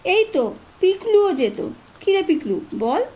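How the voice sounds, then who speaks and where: A woman's voice: extremely high pitch, sing-song, slow pace, a little mellow, pitched above the performer's own voice, heavily acted, rough recording. A woman voicing a fearless, scornful older male cousin who talks boastfully and colloquially in a horror story. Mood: eager, recounting.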